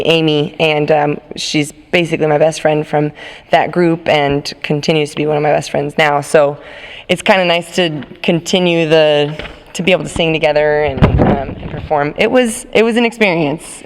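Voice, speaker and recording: A young woman, an alto singer, with a low smoky voice, chatting in an interview. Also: in a large hall. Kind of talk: casual interview.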